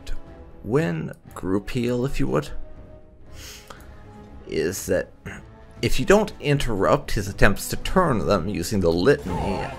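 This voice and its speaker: A man's voice: fairly low pitch, sing-song, slow, vocal fry, long pauses, soft background music. A man hosting a gaming video, giving wry roleplay commentary and replying in character.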